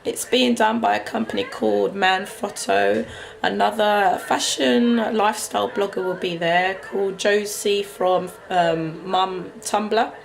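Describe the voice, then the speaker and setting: A woman's voice: medium pitch, measured pace, vocal fry, slight background noise, rough recording. A woman vlogging casually to camera, chatty and hesitant, her voice still recovering from a cold. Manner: chatty, hesitant with many ums.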